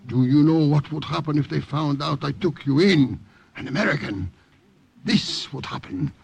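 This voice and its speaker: An actor playing a wary, fearful old Italian partisan with a creaky voice and an Italian accent, in an old-time radio drama. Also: male voice, on the high side, expressive, normal speed, a little mellow, heavily acted. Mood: anxious warning. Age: older. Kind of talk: 1940s-50s radio drama acting. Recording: noisy vintage radio recording.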